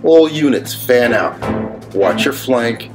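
A man's voice: medium pitch, expressive, slow, over music. An actor playing a male squad leader giving clipped tactical orders. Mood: commanding.

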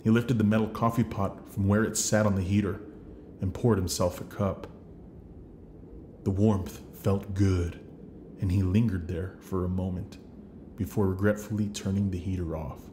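Low-voiced man reading scripted narration. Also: warm tone, slight background noise. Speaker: voice actor. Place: home recording setup.

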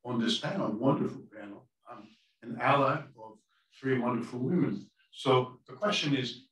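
A man's voice: medium pitch, normal speed, a bit bright. Hesitant male panel moderator. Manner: hesitant, many fillers. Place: conference room. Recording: echoey room from far off, faint noise.

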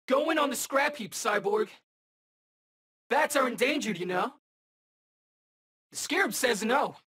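Cocky rookie superhero kid for a fighting game, quippy slangy banter, unhurried, pitch jumps all over. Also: male voice, expressive, pushed and bright, slightly rough, exaggerated voice. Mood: cocky, teasing. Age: young adult. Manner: casual, wisecracking, boyish slang. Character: cocky, eager young male rookie superhero in alien armor. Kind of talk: video game battle banter.

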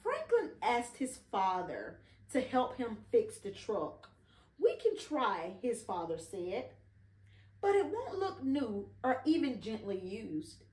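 A middle-aged woman reading a children's story aloud expressively, pausing to prompt and encourage a child. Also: fairly high pitch, huge pitch swings, a little mellow. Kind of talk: children's read-along storytelling. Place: indoor living room beside a Christmas tree. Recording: roomy, echoing home recording.

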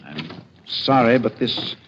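A man playing a polite, earnest gentleman with a deliberate manner in a 1940s radio drama. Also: medium pitch, animated. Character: polite, earnest gentleman amateur photographer explaining a mystery. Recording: dull, faintly noisy 1940s radio recording.

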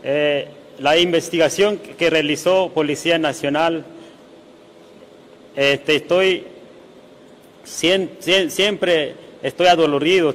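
A young man from Nicaragua's Caribbean coast giving a halting, sorrowful statement to reporters at a police press conference. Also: fairly high pitch, a bit bright. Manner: slow, halting, grieving. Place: large indoor briefing room. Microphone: cluster of reporters' mics and phones. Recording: reverberant hall with faint noise.

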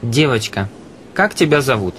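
A man's voice: mid-range voice, very animated, moderate pace, fairly bright tone, slight background noise. A man reading scripted dialogue for a language course.

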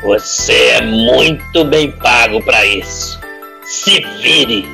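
Menacing, gravelly crime boss. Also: male voice, medium pitch, bright, forceful tone, faint music behind, heavily acted. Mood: angry, threatening. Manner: commanding, threatening, drawn-out emphasis. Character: menacing, foul-mouthed crime boss bullying a young hacker. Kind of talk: audio drama acting.

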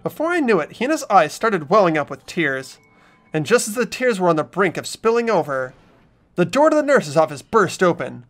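A woman's voice: on the low side, big pitch swings, moderate pace. A woman reading narration and lead dialogue in a game playthrough, with casual banter. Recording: music bed behind voice.

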